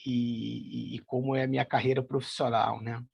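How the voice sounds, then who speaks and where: A man's voice: medium pitch, little pitch movement, normal speed, creaky, slightly rough, lo-fi sound. A middle-aged man from São Paulo with a Carioca accent, answering interview questions in a hesitant way with lots of fillers. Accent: Carioca (Rio de Janeiro). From São Paulo, Brazil. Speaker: guest, association president.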